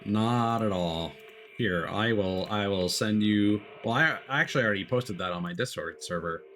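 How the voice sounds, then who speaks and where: A man's voice: fairly low pitch, normal speed, fairly bright tone, a little hiss or hum. A man streamer chatting casually and rambling with many restarts while a game loads.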